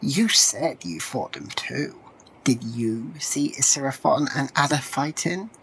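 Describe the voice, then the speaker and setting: A shrewd, tactful warrior played by a woman in a fantasy audio drama. The voice is low and husky, moving slowly and thoughtfully with expressive turns of pitch. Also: heavily acted, poor audio. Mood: probing. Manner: hesitant, thoughtful.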